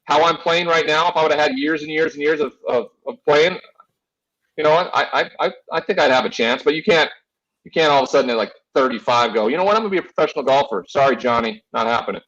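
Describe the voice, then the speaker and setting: A man in his forties with a fairly high voice talks sports as a show host. He rambles boisterously through casual stories and swears freely. The audio is a little dull and lo-fi. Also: quick pace, fairly bright tone. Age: 40s. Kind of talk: casual sports chat with personal anecdotes.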